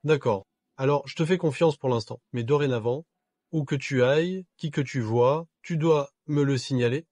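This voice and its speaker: An actor as a coldly possessive, sardonic husband. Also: male voice, medium pitch, moderate pace, a little mellow, no room sound, close to an everyday voice. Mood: suspicious, demanding. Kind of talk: dubbed melodrama acting.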